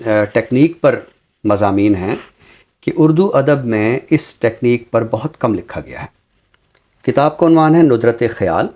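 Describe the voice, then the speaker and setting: A man's voice: medium pitch, a little mellow. A man with a Pakistani accent speaks as a literary scholar on a talk show, explaining books in formal, learned language.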